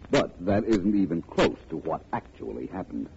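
An actor playing a cool, shrewd, commanding gentleman detective in a 1940s radio drama, speaking in a measured, articulate way. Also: sits low, very animated, creaky, exaggerated voice. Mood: assured, reasoning. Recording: muffled, noisy old radio recording.

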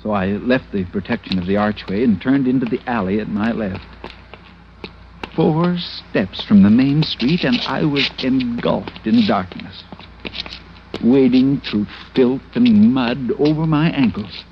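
A man's voice: medium pitch, unhurried, a little hiss or hum, natural voice. A middle-aged actor playing a timid, fussy American tourist in a 1940s radio drama, hesitant and flustered as he recounts his misadventure. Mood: anxious recounting. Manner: hesitant, stammering, prim and flustered. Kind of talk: 1940s radio drama acting, first-person narration. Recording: muffled vintage radio recording with music.

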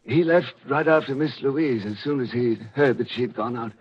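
Man as deferential, husky-voiced servant. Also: mid-range voice, normal speed, lightly acted. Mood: polite, uneasy. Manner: polite, hesitant. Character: deferential, hesitant male household servant. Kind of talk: 1940s radio mystery acting. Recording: muffled old radio recording.

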